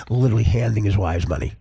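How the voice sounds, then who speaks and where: A man who works as a stand-up comedian, telling crude, rambling stories in a casual, profane, animated way. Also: fairly low pitch, vocal fry, breathy edge.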